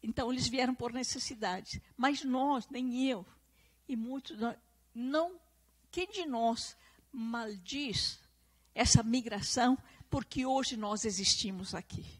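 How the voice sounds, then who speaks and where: A woman's voice: on the high side, slow pace, low-quality recording. A woman from southern Brazil with an Italian-descendant regional accent, giving an earnest public lecture to a live audience and online viewers, often repeating and restarting phrases for emphasis.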